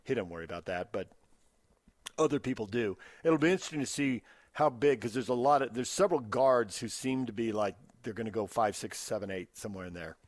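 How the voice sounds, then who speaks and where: Guy hosting sports radio, mid-to-higher voice is not forced, chatty then announcer-ish, dry studio sound. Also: a little fast, dry recording.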